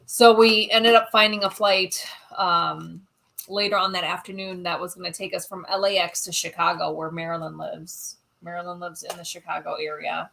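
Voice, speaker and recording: A woman co-hosting a casual livestream, recounting a trip in a relaxed way and reading viewer comments. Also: lowish voice, normal speed, vocal fry. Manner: relaxed, backs up her partner, reads viewer comments. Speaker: co-host of a livestream. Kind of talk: casual storytelling chat.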